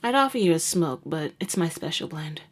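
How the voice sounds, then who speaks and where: Low-voiced, jaded vampire huntress played by a woman. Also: animated, fairly quick. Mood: wry, matter-of-fact. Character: jaded, wry female vampire hunter and nurse, secretly caring. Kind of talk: ASMR roleplay monologue.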